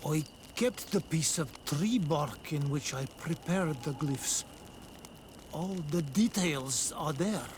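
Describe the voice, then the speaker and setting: Video game role: fearful, resentful stone engraver, unhurried and solemn, fairly high voice. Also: male voice, lively intonation, noisy background. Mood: firm, resentful. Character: bitter, fearful native stone engraver in hiding. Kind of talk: video game cutscene acting.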